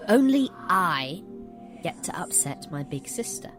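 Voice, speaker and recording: A woman playing a taunting, secretly protective little brother in an audio drama, speaking deliberately. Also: fairly low pitch, big pitch swings. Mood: needling. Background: music.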